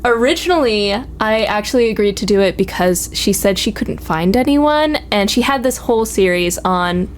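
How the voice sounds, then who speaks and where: A woman's voice: mid-range voice, expressive, moderate pace, few pauses. Woman in her twenties, noisy recording. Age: 20s. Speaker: university program administrator, guest. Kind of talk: casual conversational storytelling. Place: office. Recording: noticeable background noise.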